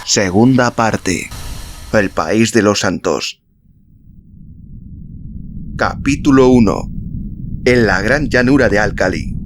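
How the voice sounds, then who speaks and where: A man reading a literary story as an audiobook narrator, in a measured, solemn, dramatic style with deliberate pauses. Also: lowish voice, a little hiss or hum. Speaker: audiobook narrator and podcast host. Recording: music behind the voice. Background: background music.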